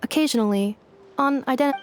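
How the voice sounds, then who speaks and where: A woman's voice: sits high, brisk, a little hiss or hum, lightly acted. A woman voicing a formal female android. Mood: measured. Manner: formal, precise, mechanical phrasing. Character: calm female android, literal-minded and polite.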